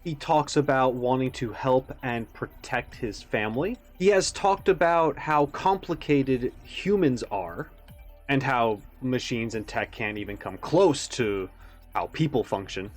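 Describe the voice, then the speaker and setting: A man hosting a reaction video with casual commentary, stressing words theatrically and pausing for emphasis. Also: mid-range voice, expressive, soft background music.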